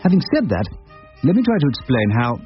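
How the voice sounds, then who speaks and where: An actor playing a wry, thoughtful English criminal barrister in a radio drama, speaking drily and deliberately with musing hums. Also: male voice, mid-range voice, very animated, faint music behind, lightly acted. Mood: wry, reflective. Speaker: actor in the lead role and narrator. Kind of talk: radio drama narration and dialogue. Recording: dull, noisy lo-fi radio drama recording.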